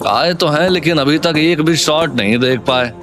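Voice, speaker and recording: A man's voice: on the high side, normal speed, music in the background, close to an everyday voice. A man playing a confident, considerate, folksy businessman in a Hindi audio drama. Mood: concerned.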